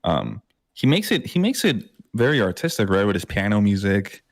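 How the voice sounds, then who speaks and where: Low-voiced easygoing podcast host, man. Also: big pitch swings, moderate pace, dry recording, clean studio sound. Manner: easygoing, affirming, hesitant. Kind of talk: casual podcast chat.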